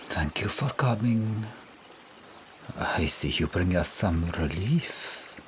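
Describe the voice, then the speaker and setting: An actor voicing gruff, weary Viking men in an audiobook, proud and sardonic, speaking slowly in a gravelly voice. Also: male voice, sits low, animated, slight background noise. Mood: weary, courteous. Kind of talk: audiobook character dialogue.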